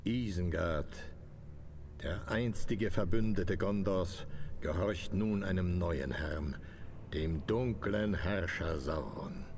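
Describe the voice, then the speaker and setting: An actor playing a dark, commanding older wizard lord in a fantasy game, solemn and imperious, with a gravelly voice. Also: male voice, low voice, takes it slowly, slight background noise. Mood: ominous, solemn. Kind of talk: dramatic fantasy game voice acting.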